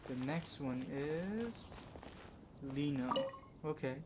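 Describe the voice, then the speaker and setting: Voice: mid-range voice, animated, takes it slowly, heavy background noise. A gamer giving casual, somewhat hesitant let's-play commentary. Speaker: game commentator. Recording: loud game music behind voice.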